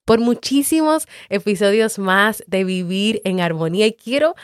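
A woman's voice: medium pitch, animated, no room sound. Woman hosting a podcast, dry close sound. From Dominican Republic. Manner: warm, grateful, unhurried. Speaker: podcast host. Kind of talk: heartfelt thank-you to listeners.

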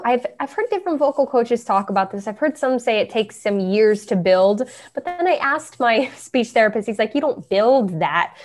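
A young woman speaking at an ordinary mid pitch, chatting loosely as an interview guest. She pads her answers with fillers and restarts now and then. Her tone is relaxed and conversational. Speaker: guest, Broadway performer.

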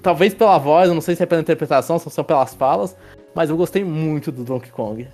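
A man's voice: a little high, fast talker, darkish tone, soft background music. A man hosting a casual film review podcast, rambling with restarts and tag questions as he leads the talk. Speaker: podcast host.

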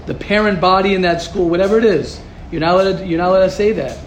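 A man teaching a Torah class, taking questions and acting out quoted gossip in a lively, repetitive way. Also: high-pitched, fast. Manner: animated, acts out quoted gossip, repeats words. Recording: echoey room, noisy ambience.

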